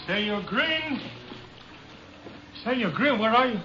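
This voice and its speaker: An actor playing a nervous, deferential Mexican smuggler's courier with a Mexican accent and broken English in a 1950s radio drama. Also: male voice, extremely high pitch, lively intonation, slow, exaggerated voice. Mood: breathless, eager. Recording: noisy old radio recording, dull sound.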